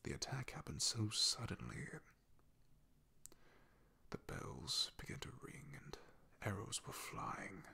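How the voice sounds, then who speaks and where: A man playing a solemn, haunted, devoted knight in an ASMR fantasy roleplay, speaking haltingly in a husky, gravelly half-whisper. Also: mid-range voice, big pitch swings, full character voice. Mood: wistful, somber. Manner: hesitant, halting, confiding in hushed tones. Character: loyal male knight, solemn, haunted, devoted to his princess.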